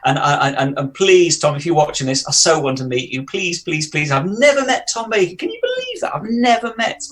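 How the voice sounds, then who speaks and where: A man's voice: fairly high pitch, big pitch swings, a little fast, fairly bright tone. A British man co-hosting a fan podcast, talking casually and enthusiastically, often repeating words as he goes. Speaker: podcast co-host.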